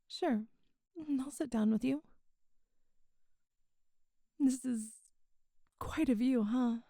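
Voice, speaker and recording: Ancient female demon, wise and regretful, played by a woman: intimate ASMR delivery, unhurried, with a gravelly creak. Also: high-pitched, no room sound, studio quality, exaggerated voice. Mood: tender, shy. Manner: soft, hesitant, intimate, sighing. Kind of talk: ASMR audio roleplay.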